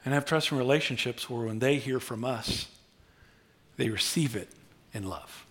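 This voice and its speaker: A middle-aged male pastor giving a sermon, conversational and humorous, swinging from quiet asides to loud bursts. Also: mid-range voice, normal speed. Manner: humorous, conversational preaching with big swings in loudness.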